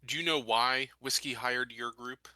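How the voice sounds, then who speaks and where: A man's voice: medium pitch, fairly even intonation, moderate pace, bright, forceful tone, dry recording. A man playing a tabletop roleplaying game, moving between casual talk and in-character questioning.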